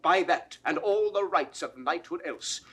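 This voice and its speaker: An actor playing a fierce, proud young noble accuser in declamatory Shakespearean verse. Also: male voice, fairly high pitch, expressive, a little slow, slightly rough, exaggerated voice. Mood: scornful, challenging. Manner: declamatory, forceful, ringing delivery. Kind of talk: classical Shakespearean verse acting, 1960s recording.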